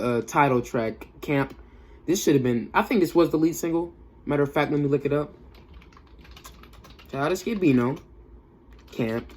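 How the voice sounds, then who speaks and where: A young man giving a casual, off-the-cuff music review in a slangy, emphatic way, often repeating himself for effect. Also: mid-range voice. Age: young adult.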